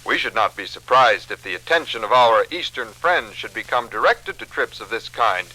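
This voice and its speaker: A man's voice: mid-range voice, expressive, normal speed, bright, forceful tone, rough recording. A man formally reading a news report in 1950s radio style, on a vintage recording. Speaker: radio reader.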